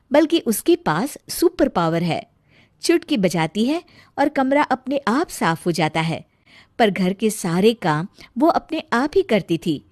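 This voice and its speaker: A woman's voice: medium pitch, a little mellow, no room sound, clean studio sound. A woman narrating a scripted cartoon family story.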